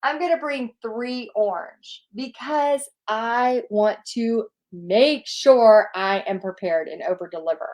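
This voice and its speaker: A woman's voice: a little high, slow, few pauses, a little mellow. A woman with a Southern US accent, a paint party instructor chatting casually as she answers viewer questions in an upbeat, encouraging way. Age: adult, nearly two decades of experience.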